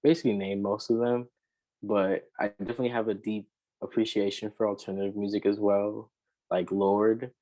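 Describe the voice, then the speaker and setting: A man, a rapper and singer, talking casually in an interview, relaxed and rambling with many fillers. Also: lowish voice, normal speed, rough recording.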